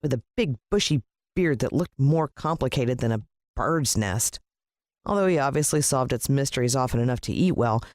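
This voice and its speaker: Animated American woman reading a children's story. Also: extremely low pitch, normal speed, no echo, studio quality. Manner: dramatic, playing a child's first-person point of view. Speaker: storybook narrator. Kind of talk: expressive scripted children's story narration.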